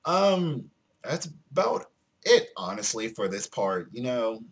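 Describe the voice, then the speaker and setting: A man's voice: medium pitch, big pitch swings, slow, husky. A man giving casual let's play commentary, rambling and repeating himself as he talks to his viewers. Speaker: video game streamer.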